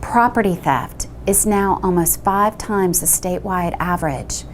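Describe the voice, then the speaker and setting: A woman's voice: on the low side, a little slow, a little hiss or hum. A woman running for city council, giving a firm and urgent campaign statement.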